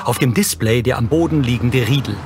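A man's voice: mid-range voice, brisk. Neutral male narrator over music. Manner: neutral, factual. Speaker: audio description narrator. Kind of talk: scripted narration in present tense. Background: music and show soundtrack.

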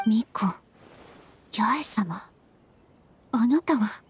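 A woman voicing a gentle, wistful spirit in human form for an anime game, soft, polite and hesitant, often breathy. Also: a little high, expressive, drawn-out words, a little hiss or hum. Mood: apologetic, hesitant. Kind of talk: Japanese anime game voice acting.

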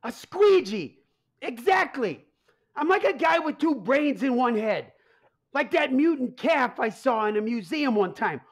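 Man acting a harried mob boss, wildly swinging pitch. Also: big pitch swings, a little slow, heavily acted. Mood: anguished. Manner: exasperated, blustering. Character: harried, anxious mob boss father who secretly runs pizzeria. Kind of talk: comedic radio play acting.

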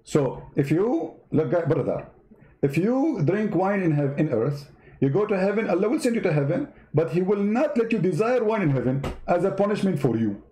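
Sarcastic commentator with a non-native accent. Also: male voice, high voice, very animated, normal speed, dark tone, roomy sound, poor audio. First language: not English. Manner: sarcastic, mocking, repeats words. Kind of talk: mocking commentary over clips.

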